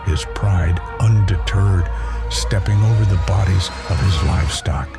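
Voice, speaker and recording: A man giving scripted, theatrical first-person narration for an audio drama in a solemn, measured manner. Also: deep voice, creaky. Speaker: narrator and voice actor. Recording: prominent music behind. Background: music.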